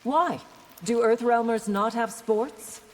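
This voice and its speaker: An actress playing a proud, questioning young warrior princess in a video game, formal and pointed. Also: female voice, fairly high pitch, slow pace. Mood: challenging. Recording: long hall reverb, faint noise.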